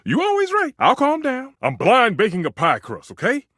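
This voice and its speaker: An actor playing a proud, temperamental, food-obsessed stove chef in a video game, with pompous, flowery delivery and exasperated outbursts. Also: male voice, very high voice, wildly swooping pitch, moderate pace, bright, forceful tone, dry recording, studio quality, exaggerated voice. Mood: flustered, impatient. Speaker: voice actor in a dating simulator game. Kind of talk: theatrical video game character acting.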